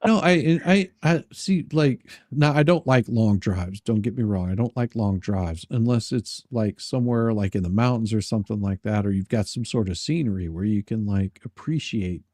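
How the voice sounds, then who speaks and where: Guy rambling on a livestream, kinda husky low voice, dry close sound, jokes around crudely. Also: moderate pace, hardly any pauses, darkish tone, no echo. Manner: rambling, repeats words, crude joking. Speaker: livestream host. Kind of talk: casual livestream chat.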